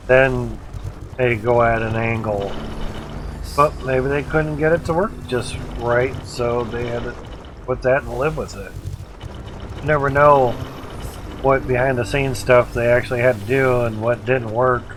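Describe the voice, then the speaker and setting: A man's voice: medium pitch, steady tone, measured pace, pauses often, background noise. A man livestreaming a video game with casual, rambling commentary in short clipped phrases. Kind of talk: casual gameplay commentary, chatting with viewers.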